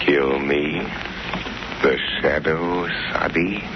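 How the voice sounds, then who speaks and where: Ominous phantom crime-fighter, extremely deep, deliberate, calmly mocking; muffled vintage radio serial. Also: male voice, heavily acted. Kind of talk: 1930s radio drama acting. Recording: noisy muffled old radio recording.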